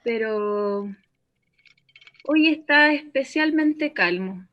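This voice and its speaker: A woman's voice: on the high side, animated, very slow, highs cut off. An actress playing a woman hiding in a bunker, calling in to a radio show in a radio drama. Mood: wary. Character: woman named Ángela holed up in a bunker. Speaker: caller to a radio program.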